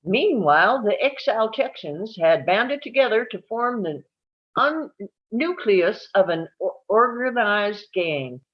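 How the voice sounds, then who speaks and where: A woman's voice: mid-range voice, very animated, measured pace. A woman reading scripted narration aloud at a live table read, telling it like a story and now and then stumbling over a word. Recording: dull, lo-fi sound.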